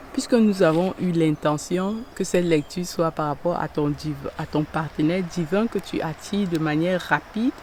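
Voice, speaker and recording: A woman giving a tarot reading straight to the viewer, gentle and unhurried, often pausing and searching for words. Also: on the low side, a little hiss or hum. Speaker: tarot reader.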